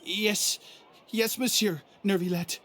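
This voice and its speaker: An actress playing a nervous, submissive underling in a video game, hesitant and stammering. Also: female voice, mid-range voice, steady tone, takes it slowly, fairly bright tone, slight background noise.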